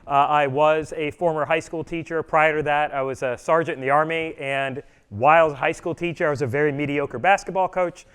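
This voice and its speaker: A middle-aged man presenting at a conference session, speaking loudly and plainly to a live audience, with frequent "uh" fillers. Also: fairly high pitch, fairly even intonation, fairly quick, clear, resonant tone. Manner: loud, projecting voice. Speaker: presenter, customer education trainer. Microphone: room mic or none, speaking to live audience.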